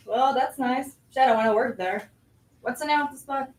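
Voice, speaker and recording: A woman's voice: high-pitched, normal speed, lightly acted. A woman playing a blunt, skeptical, streetwise character in a staged play reading, roomy and distant from the microphone. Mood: skeptical, sarcastic. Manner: sarcastic, streetwise.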